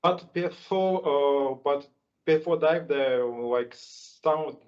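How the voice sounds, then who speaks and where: A man with a strong Russian accent, speaking English as a second language and hosting an online speaking club, halting with many restarts and fillers. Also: fairly high pitch, darkish tone. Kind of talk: casual online English lesson chat. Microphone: video call. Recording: lo-fi video call audio.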